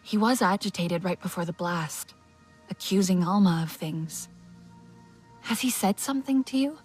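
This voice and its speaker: An actress playing an earnest, caring young female alien fighter in a video game, with a gentle and sincere manner. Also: lowish voice, normal speed, husky, soft background music. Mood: concerned. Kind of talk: video game character acting.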